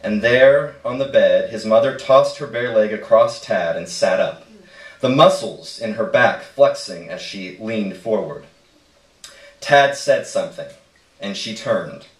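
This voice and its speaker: A man's voice: on the low side, animated, a little slow. A man reading a short story aloud as narration at a live stage reading. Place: indoor stage reading in a roomy space. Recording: echoey room, distant microphone.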